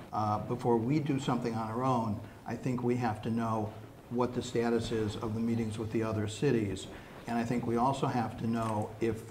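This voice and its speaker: An American man with an average-pitched voice answers at a candidates' forum. He is measured and a little hesitant. Faint room noise sits behind him. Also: a little mellow. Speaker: city council candidate.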